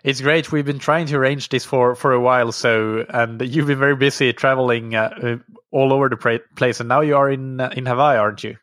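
A man hosting a podcast, reading sponsor messages and then interviewing a guest in a relaxed, conversational way with frequent fillers. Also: medium pitch, a little fast, no room sound, studio quality. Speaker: podcast host.

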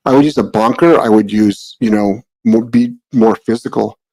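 A man's voice: lowish voice, moderate pace, darkish tone, low-quality recording. A man from the Midwest hosting a casual live dog-training Q&A, speaking bluntly and plainly, with some swearing. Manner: blunt, profane, matter-of-fact.

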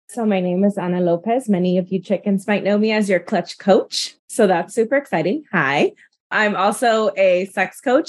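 Bubbly woman coach, lowish voice. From United States. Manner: bubbly, laughing, chatty. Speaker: sex coach guest. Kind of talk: casual podcast conversation.

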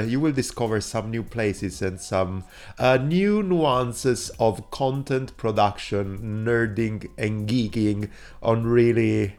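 A man from southern Italy with an Italian accent, hoarse from a cold, hosting his podcast in a chatty, rambling way full of fillers and restarts. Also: mid-range voice, darkish tone. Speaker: podcast host. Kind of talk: casual solo podcast intro.

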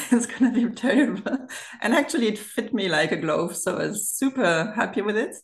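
A woman's voice: medium pitch, expressive, normal speed. A woman in her thirties with a German accent, talking in a chatty, informal way as an interview guest. Her voice sits mid-range and comes through with a noticeable room echo. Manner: chatty, giggles often as she talks. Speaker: podcast guest. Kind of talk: casual chat. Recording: roomy, echoey remote recording.

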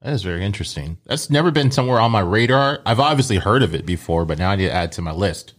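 A male host with a fairly low voice, chatting casually and asking curious questions. He sounds relaxed and uses loose, informal phrasing throughout. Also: quick pace. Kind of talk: casual interview chat.

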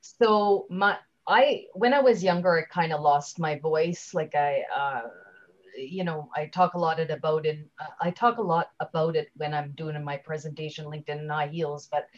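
A woman giving a conversational interview as a guest speaker, chatty and halting, often leaving sentences unfinished, on slightly dull audio. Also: deep voice. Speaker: guest, LinkedIn trainer and speaker.

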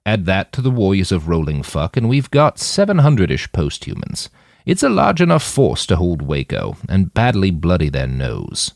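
Man as a low-voiced, grave leader. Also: huge pitch swings, normal speed, creaky, dry recording, studio quality, full character voice. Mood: measured.

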